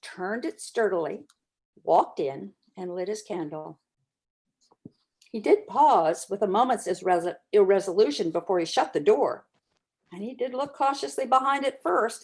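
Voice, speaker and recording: A woman giving an expressive, deliberate dramatic reading of a classic story, pausing for emphasis as a community reader. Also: fairly high pitch.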